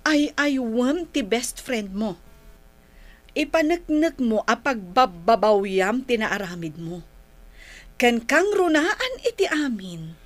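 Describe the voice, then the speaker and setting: An actress with an Ilocano accent playing a concerned older sister figure in a radio drama, questioning and admonishing frankly. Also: female voice, a little high, sing-song, slow. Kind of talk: Filipino radio drama acting.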